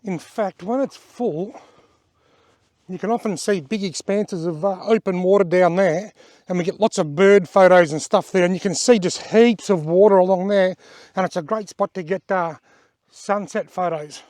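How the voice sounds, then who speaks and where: Hoarse, high-voiced Australian man touring outdoors. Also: moderate pace, a little mellow, no room sound, clean studio sound. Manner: chatty and plain-spoken, pointing things out as he goes. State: slightly hoarse from a bug. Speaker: outdoor vlogger giving a tour. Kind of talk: casual walking-tour narration. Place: outdoors at wetlands by a creek and car park.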